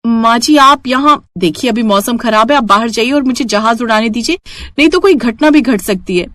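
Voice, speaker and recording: A woman voicing a harried young female pilot in an animated story, polite but firm and urgent with her in-laws. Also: a little high, normal speed, no echo, studio quality, lightly acted. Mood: firm, pleading.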